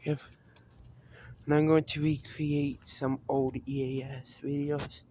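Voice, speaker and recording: A man giving an unscripted vlog-style talk as a video creator, haltingly, often repeating and restarting his phrases. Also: fairly high pitch, flat delivery, a little mellow, a little hiss or hum. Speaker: video creator announcing a decision to fans.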